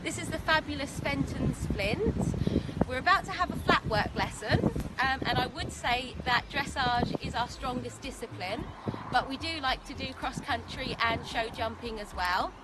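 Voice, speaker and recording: Enthusiastic high-voiced woman in her thirties. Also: normal speed, very noisy. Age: 30s. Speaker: contestant. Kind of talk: self-introduction to camera.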